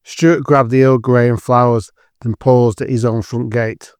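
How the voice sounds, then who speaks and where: A man's voice: mid-range voice, steady tone, measured pace, darkish tone, clean tone, no echo, clean studio sound. A man giving scripted narration as the storyteller of an audio drama.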